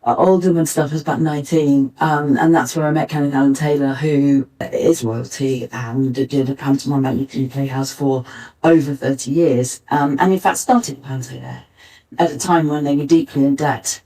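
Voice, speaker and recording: An older woman speaking as a panelist at a live event, reminiscing in a halting way and repeating words as she thinks. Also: a bass voice, fairly even intonation, dark tone. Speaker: pantomime writer, director and actor, panelist. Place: arts centre stage, live panel. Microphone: distant backup recorder. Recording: distant mic, uneven backup recording.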